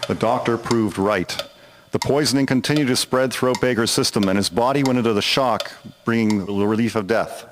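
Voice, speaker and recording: A man's voice: medium pitch, fairly quick, noisy background. A man narrating a scripted historical story as its storyteller. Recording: music behind the voice. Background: guitar music.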